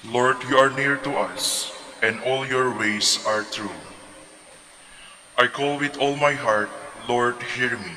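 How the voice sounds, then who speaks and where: A man recites liturgical psalms at a steady, measured pace. His tone is bright and clear. The voice rings out in the long reverb of a large church. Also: mid-range voice, slight background noise.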